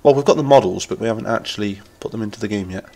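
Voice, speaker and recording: Nasal English streamer with a cold. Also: male voice, lowish voice, racing, dark tone. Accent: Lincolnshire English. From England. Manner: chatty, enthusiastic, rambling, reading chat aloud. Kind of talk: casual live-stream chat while gaming.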